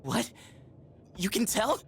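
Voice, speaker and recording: A man's voice: high-pitched, big pitch swings, slow, fairly bright tone, slight background noise, exaggerated voice. A man voicing an awkward, sheepish teenage boy in a video game, speaking hesitantly and candidly. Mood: surprised, puzzled.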